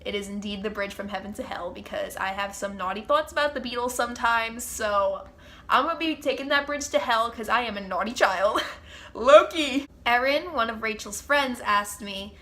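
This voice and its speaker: A woman's voice: fairly high pitch, moderate pace, a bit bright. A young woman with a congested, stuffy nose, chatting as host of her own Q&A vlog with gushing fan enthusiasm. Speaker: channel host. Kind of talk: casual Q&A vlog chat.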